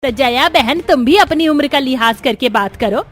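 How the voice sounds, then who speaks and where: A woman voicing a caring, outspoken woman of a cartoon family, plain-spoken and expressive. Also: high voice, moderate pace. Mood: scolding.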